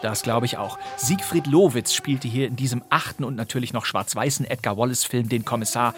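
A man's voice: mid-range voice, a little fast, faint music behind. A man presenting a podcast of classic radio crime plays, speaking in a scripted, playful and wry way.

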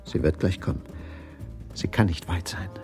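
An actor playing a brooding, pleading, jealous married waiter in a German radio crime drama. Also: male voice, sits low, moderate pace, darkish tone, faint music behind. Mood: resigned, anxious. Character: tormented, jealous married dining-car waiter, lovesick and brooding.

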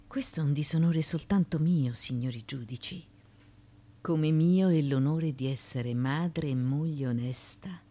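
Slow, low-voiced defiant woman pleading. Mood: solemn pleading. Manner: declamatory, deliberate, shifting between hushed and forceful. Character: proud, defiant foreign-born former slave and courtesan pleading before judges. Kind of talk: theatrical radio drama monologue.

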